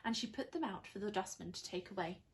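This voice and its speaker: A woman reading a children's story aloud like a primary school teacher, with expressive, dramatised narration. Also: medium pitch, fast, slight background noise.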